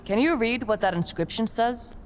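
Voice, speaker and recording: An actor playing a wary, protective young adventurer in a video game, speaking in a terse, dry, plain way. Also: male voice, extremely high pitch, moderate pace, a little hiss or hum. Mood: wary, focused. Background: game soundtrack music.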